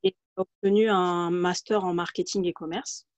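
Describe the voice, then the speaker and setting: A woman's voice: on the low side, steady tone, normal speed, no room sound. A woman in her thirties from the Paris region, telling her career story as an interview guest and speaking hesitantly, with many fillers and restarts. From France, Paris region. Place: home room, video call. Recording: dull, lo-fi video call audio.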